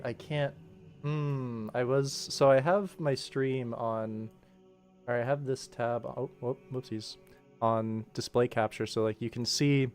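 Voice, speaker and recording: A man streaming his work as an animation director, talking informally and rambling as he thinks aloud. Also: medium pitch. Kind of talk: casual livestream work commentary. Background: game ambient music playing softly.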